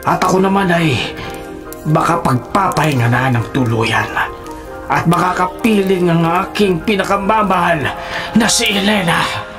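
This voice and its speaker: A man playing the cursed ghost of an aged man, sorrowful and menacing, in slow, formal radio drama style. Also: high-pitched. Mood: wistful triumph. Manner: slow, formal, archaic, theatrical delivery. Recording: loud dramatic music behind. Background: dramatic music.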